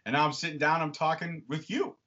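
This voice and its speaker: A man's voice: a little high, steady tone, quick pace, bright, forceful tone. A young man hosting a wrestling podcast, chatting casually and enthusiastically with a guest and swearing freely. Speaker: podcast host. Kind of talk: casual interview chat. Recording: slightly dull lo-fi audio.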